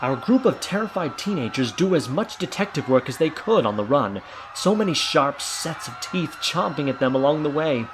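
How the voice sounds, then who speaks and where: A man's voice: a little high, big pitch swings, normal speed, over music. A man narrating a scripted audio drama in a theatrical storytelling manner, with noise behind him. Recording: clear background noise and ambience.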